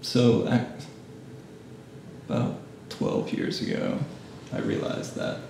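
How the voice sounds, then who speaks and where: A man in his late thirties from Japan, answering interview questions with soft, trailing, hesitant phrases. His voice has a gravelly fry. The recording sounds like a big echoey room with the mic some distance away and a steady hiss behind him. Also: mid-range voice, slow pace. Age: late 30s. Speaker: guest photographer. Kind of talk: casual interview.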